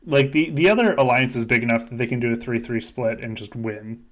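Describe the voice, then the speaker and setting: A man's voice: mid-range voice, fairly quick. A man hosting a podcast game in casual, joking chat, swearing freely as he goes. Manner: sweary, jokey. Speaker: podcast host running a fan survivor game.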